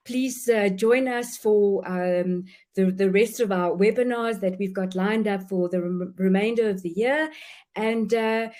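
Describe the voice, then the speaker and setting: Female webinar host, effusive introducer with frequent restarts, mid-pitched. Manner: warm, effusive, many restarts. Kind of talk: event introduction.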